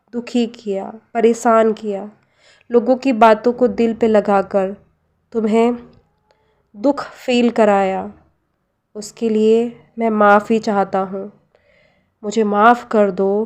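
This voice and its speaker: An Indian woman leading a guided meditation and prayer, speaking gently and deliberately with pauses and repeated phrases. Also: on the high side. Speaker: guided meditation leader, life coach.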